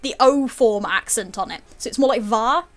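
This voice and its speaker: A woman's voice: on the high side, moderate pace. A young woman chatting casually while streaming a game, swearing freely and laughing often. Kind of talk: casual chat while gaming.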